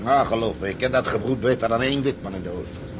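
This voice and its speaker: An actor playing a commanding, stubborn, cocksure sea captain in a Dutch audio drama. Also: male voice, medium pitch, fast talker, close to an everyday voice. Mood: gruff, scornful. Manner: cocksure, rough-tongued. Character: commanding, stubborn, swaggering male salvage ship captain. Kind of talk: Dutch radio drama acting. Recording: noisy ship ambience behind voice.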